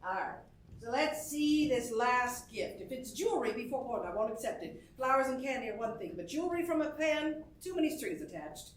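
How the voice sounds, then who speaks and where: An actress playing a brassy, playful vaudeville star, trading good-humored wisecracks in a staged reading. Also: female voice, a little high, very animated, brisk. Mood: amused, breezy. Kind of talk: theatrical acting in a staged musical reading. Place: indoor staged reading, recorded from a distance. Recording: distant stage mic, roomy, faint noise.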